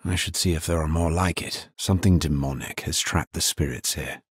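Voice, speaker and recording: An actor playing a grim, dutiful necromancer adventurer in a video game, with a grave, measured, terse delivery and a husky voice. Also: male voice, extremely low pitch, no echo, studio quality. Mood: grave, questioning. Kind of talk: video game character voice lines.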